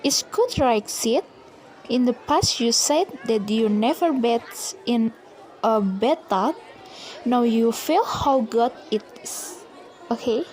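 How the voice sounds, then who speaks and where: A woman's voice: fairly high pitch, expressive, takes it slowly, darkish tone, some chatter behind, rough recording. A woman playing a gloating, spiteful schoolgirl bully in a student radio drama, speaking in a taunting way.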